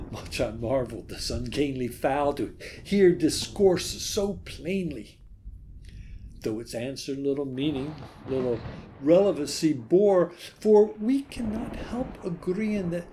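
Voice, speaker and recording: Slow, theatrical man reciting gothic poetry. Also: on the high side, animated, mellow, a little hiss or hum. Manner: theatrical, halting with long pauses and sighs. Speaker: poetry reader. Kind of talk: dramatic recitation of a gothic poem.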